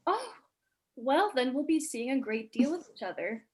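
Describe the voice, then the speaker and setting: Actress as polite reserved newcomer mom in a play reading, highish voice. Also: female voice, sing-song, normal speed, slight background noise. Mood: courteous, composed. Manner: courteous, composed. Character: polite, reserved new neighbor, married mother Lucy Washington.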